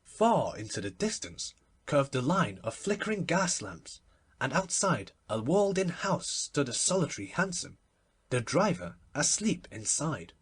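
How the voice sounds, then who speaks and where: A man narrates classic literary fiction with a very husky, breathy voice set a little high. His delivery is measured and expressive, with lively rises and falls that shape each phrase of the story. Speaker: audiobook narrator.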